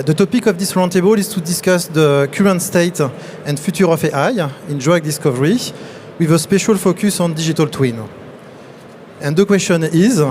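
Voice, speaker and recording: A man moderating a conference panel on stage, halting with many fillers and restarts. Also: a little high, darkish tone, slight background noise. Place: large exhibition hall on stage.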